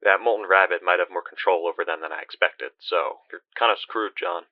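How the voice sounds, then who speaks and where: A low male voice comes through dull, lo-fi audio. A man acts out a guilt-ridden, trapped soul in a horror game, confiding in halting, weary phrases. He sounds like a desperate older brother who swears as he talks. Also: no echo. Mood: tense, urgent. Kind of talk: horror game phone-call voice acting.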